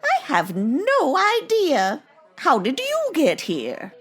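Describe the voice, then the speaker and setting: Woman playing a slow, swooping, sweet old grandma. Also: sits high, huge pitch swings, heavily acted. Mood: chatty, doting. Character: sweet, chatty, oblivious old grandmother hostess. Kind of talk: old-time radio comedy acting.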